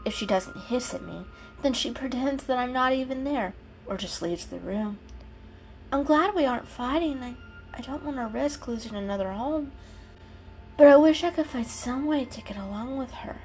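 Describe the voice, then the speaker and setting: A woman voicing a gentle, anxious female cat with an earnest, hesitant manner in a dramatic storybook reading. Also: sits high, animated, moderate pace, pitched above the performer's own voice, rough recording. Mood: troubled. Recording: music behind the reading.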